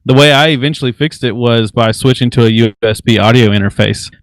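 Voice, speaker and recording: Man, podcast co-host, crisp mid-range voice, casual tech chat. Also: medium pitch, a little fast, dry recording.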